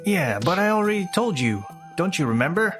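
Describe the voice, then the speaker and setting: Guy acting selfish young husband, brushes everything off, very animated voice, comic dub. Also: sits high, brisk, faint music behind. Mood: irritated, dismissive. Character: selfish, dismissive young husband who shirks chores. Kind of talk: scripted comic dub dialogue.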